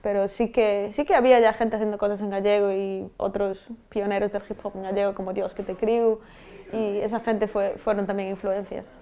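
A woman's voice: medium pitch, normal speed. Woman, 30s, laid back studio interview answers, trails off a lot. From Vigo, Galicia, Spain. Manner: relaxed, many restarts and trailing-off endings. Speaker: guest rapper and software engineer. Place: TV studio set. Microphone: lapel.

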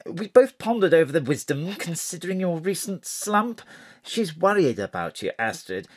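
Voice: medium pitch, very animated, moderate pace, husky. An actor playing a courtly, fussy male former diplomat and spymaster, speaking in a genteel, old-fashioned, solicitous way in an audio drama. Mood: genial, concerned. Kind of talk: audio drama dialogue over a phone call.

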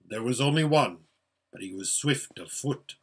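A man voicing an unseen great lion in a storybook, solemn and measured, with a large deep voice. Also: lively intonation, a bit bright, husky. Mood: calm, solemn.

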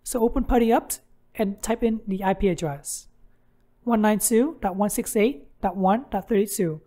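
A woman's voice: medium pitch, moderate pace, dark tone. Woman narrating a step-by-step tutorial. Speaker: tutorial host.

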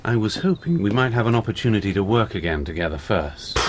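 A man's voice: on the low side, expressive, fast, slight background noise, natural voice. A man playing a dry, pushy, sarcastic British army major in a radio drama, with a British accent. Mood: sardonic, coaxing.

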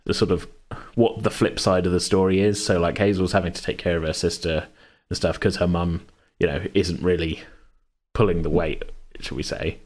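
A man's voice: deep voice, steady tone, normal speed, a little mellow. A man chatting casually as a game master, in a rambling, hedging way. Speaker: game master and podcast host. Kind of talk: casual chat about a tabletop game.

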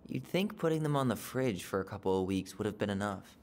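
A man's voice: on the low side, expressive, a little fast, slight background noise, close to an everyday voice. An actor playing a guarded, dryly sarcastic young man, terse and naturalistic, in a video game. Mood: reflective, dry. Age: young adult.

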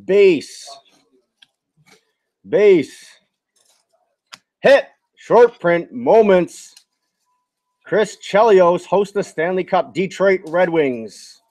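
A man's voice: high-pitched, darkish tone. A man hosting a card box break, announcing each item in clipped, short bursts. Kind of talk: live card-opening commentary, terse announcing. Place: card shop with onlookers seated nearby.

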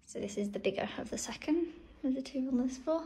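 A woman's voice: high-pitched, expressive, fast talker, warm tone. A woman casually showing viewers around an empty house, recorded on a handheld phone. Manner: chatty, narrating as she walks, often drops to a whisper. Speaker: vlogger touring a house. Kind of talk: casual home tour. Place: empty new-build house, bare rooms. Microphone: phone held while filming.

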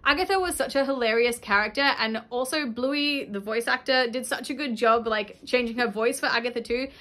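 Australian woman, 30s, mum vlogger, high voice, bright, animated and indignant, webcam in a room at home. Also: a little fast. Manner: animated, indignant, gestures a lot while talking. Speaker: YouTube host and mum. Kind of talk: casual commentary to camera. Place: indoor room with a patterned blanket behind her.

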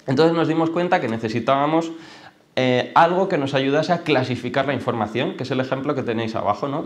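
Young man giving an informal talk. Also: mid-range voice. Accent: Spain. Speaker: data analyst on a panel. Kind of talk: informal explanatory talk to students. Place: large auditorium, panel table.

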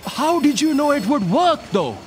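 A man's voice: extremely high pitch, sing-song, normal speed, a little mellow, a little hiss or hum. A man playing an eager, hesitant office assistant in a staged audio drama, deferential and inquisitive. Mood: hesitant, curious. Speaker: stage actor. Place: theatre stage, indoors.

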